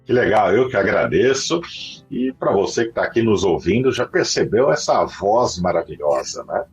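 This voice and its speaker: A Brazilian man hosts a podcast with a markedly husky, rough voice. His delivery is animated and conversational as he presents to listeners. The sound is somewhat muffled and lo-fi. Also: lowish voice, moderate pace. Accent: Brazilian Portuguese. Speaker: podcast host. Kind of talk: conversational hosting and promotion. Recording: dull lo-fi sound, faint music.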